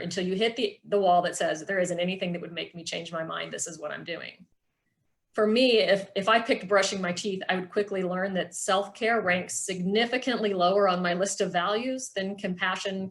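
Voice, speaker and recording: A middle-aged woman presenting a workshop with personal reflection, explaining things in an earnest, measured way. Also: mid-range voice, a bit bright.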